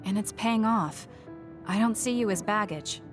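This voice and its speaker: A young woman playing a composed, blunt and earnest platoon fighter in an anime game dub, with a measured, matter-of-fact delivery. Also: medium pitch, soft background music, lightly acted. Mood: calm, puzzled.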